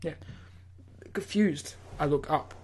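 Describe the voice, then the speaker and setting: Let's play host, medium-pitched voice, rambling, self-joking, stumbling over words, reading game text aloud. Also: takes it slowly, a little mellow, a little hiss or hum. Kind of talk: gaming commentary with read-aloud dialogue.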